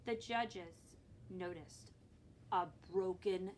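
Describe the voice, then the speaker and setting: A woman librarian reads a picture book aloud to children expressively, pausing to explain things and prompt her young listeners. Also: on the low side, slight background noise.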